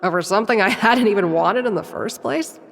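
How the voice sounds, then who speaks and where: A woman's voice: medium pitch, fairly quick, close to an everyday voice. A woman playing a sarcastic, flustered schemer who narrates a comedic audio drama. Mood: wry. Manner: wry, improvising, awkward.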